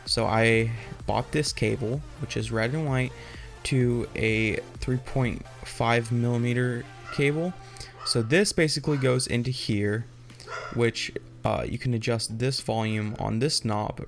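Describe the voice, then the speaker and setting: A man's voice: mid-range voice, vocal fry. A young adult man giving an informal, off-the-cuff tech tutorial, explaining loosely and rambling as he goes. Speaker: tutorial host.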